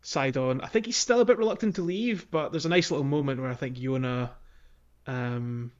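A man hosting a podcast, talking casually through a game walkthrough in a halting, explanatory way. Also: medium pitch, highs cut off. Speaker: podcast host.

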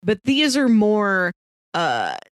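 A woman's voice: medium pitch, wildly swooping pitch, slow pace, no echo, studio quality. A woman co-hosting a podcast, talking through films in a casual, thoughtful, conversational way. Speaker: podcast co-host. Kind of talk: casual movie discussion.

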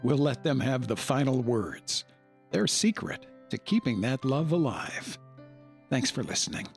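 A man's voice: mid-range voice, sing-song, measured pace, soft background music. A man hosting an informal discussion in a jovial, somewhat wordy manner. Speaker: discussion host.

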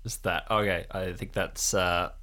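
A man's voice: low-pitched, steady tone, a little slow, bright, forceful tone. A man casually narrating an improvised role-play story as game master.

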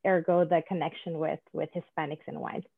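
A woman's voice: deep voice, flat delivery, brisk, gravelly, husky, no echo. Guest, woman from Panama, friendly, lots of "um"s, tinny and dry remote audio. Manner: friendly, with frequent fillers. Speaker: guest, wine business founder. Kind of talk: self-introduction in casual conversation. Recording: dry, phone-like remote line.

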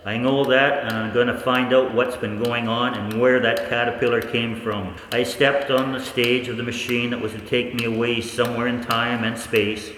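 A man playing a researcher who narrates his own experiment in a 1940s-style radio play, reflective and determined, with deliberate pauses. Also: mid-range voice, little pitch movement, a bit bright, close to an everyday voice. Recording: echoey hall, faint background noise.

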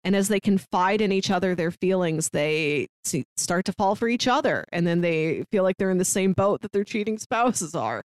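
A woman's voice: fairly low pitch, animated, moderate pace, hardly any pauses, darkish tone, no echo. A woman co-hosting a podcast in casual, conversational style. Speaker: podcast co-host.

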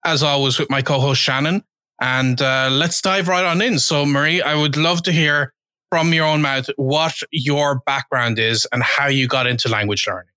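A man's voice: medium pitch, moderate pace, bright, forceful tone, dry recording. A man hosting a podcast, welcoming a guest and asking conversational questions. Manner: friendly, conversational questions with fillers. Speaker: podcast host. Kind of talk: podcast interview.

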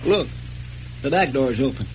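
An actor playing a folksy, deferential marshal's helper in an old radio western. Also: male voice, medium pitch, animated, moderate pace, close to an everyday voice. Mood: earnest. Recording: noisy, muffled vintage radio recording.

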